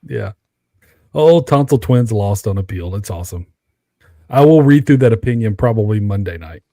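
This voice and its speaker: A man's voice: on the low side, animated, normal speed, dark tone, no room sound, studio quality. A man hosting a casual livestream, reading out chat comments between laughs. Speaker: livestream host.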